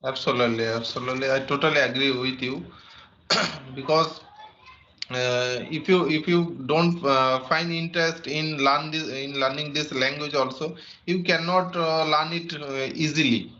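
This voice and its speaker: A man's voice: fairly high pitch, fairly even intonation, normal speed, sharp tone, a little hiss or hum. A man with an Indian English accent, a native Santali speaker, practicing English conversation in a hesitant way with many fillers and restarts. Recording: muffled lo-fi audio.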